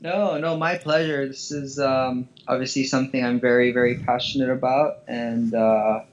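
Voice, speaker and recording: The sound is dull and a bit lo-fi. A man from Hawaii with a medium-pitched voice answers as an interview guest. He chats casually, in a hesitant and halting manner. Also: steady tone. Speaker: podcast guest, professional golfer.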